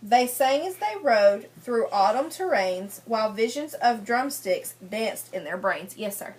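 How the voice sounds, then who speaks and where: A woman's voice: fairly high pitch, animated, measured pace. A woman, a teacher reading a picture book to young children, asking questions and repeating their answers. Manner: often repeats children's answers back, prompting them with questions. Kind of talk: interactive read-aloud lesson with questions and explanations.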